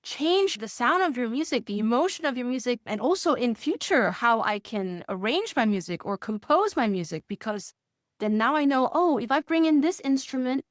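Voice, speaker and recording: A woman with a fairly high voice speaks as a student giving an interview testimonial. She explains things enthusiastically, chuckling often. The sound is close and dry, with little room on it. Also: big pitch swings, normal speed, no echo. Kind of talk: conversational interview answers.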